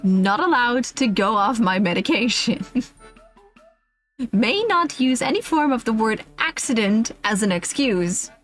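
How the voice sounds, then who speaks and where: A woman's voice: fairly high pitch, animated, a little slow. A woman streamer reading a humorous list aloud in a casual, playful, giggly way, with offhand asides. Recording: music playing behind the voice. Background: background music.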